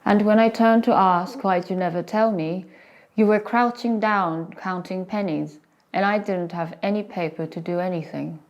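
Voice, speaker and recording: A woman reciting poetry in short, measured phrases with deliberate pauses between them. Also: on the low side, fairly even intonation, highs cut off.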